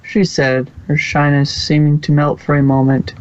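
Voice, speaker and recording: Story narrator, mid pitch, halting read, fan fiction narration, muffled lo-fi recording. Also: flat delivery, a little hiss or hum. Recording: dull lo-fi recording.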